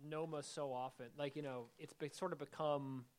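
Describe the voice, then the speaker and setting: A man answering on a stage panel, relaxed and loose, with many fillers like "like". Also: fairly high pitch, normal speed, slight background noise. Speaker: TV writer and director on a panel. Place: event stage before an audience.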